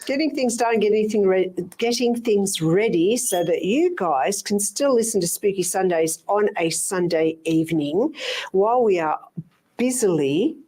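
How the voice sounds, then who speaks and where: An older Australian woman co-hosts a radio show from a studio. Her pitch sits in the middle, and she trades dry, wry remarks with her co-host in easy banter. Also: expressive, a little slow, dark tone. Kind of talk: casual banter on air.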